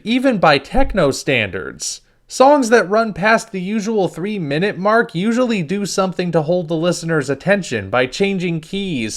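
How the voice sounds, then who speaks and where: Higher-pitched man narrating a wry review. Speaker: reviewer, show host.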